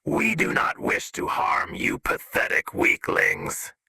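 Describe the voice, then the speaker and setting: A man voicing a condescending, menacing alien invader with a rasping, distorted voice in a scripted sci-fi broadcast message. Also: bright, forceful tone, no room sound. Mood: haughty, scornful. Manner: slow, haughty, threatening.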